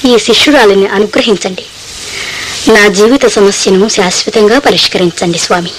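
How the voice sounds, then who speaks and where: A woman's voice: medium pitch, a little fast, a little hiss or hum, natural voice, poor audio. An actress playing an ardent young woman devotee in a Telugu radio play, speaking in a reverent, fervent, poetic way. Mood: pleading devotion.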